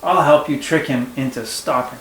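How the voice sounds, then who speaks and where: A man voicing a kind, helpful little hedgehog in a children's storybook, gentle and reassuring. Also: mid-range voice, moderate pace, roomy sound, noisy background, lightly acted.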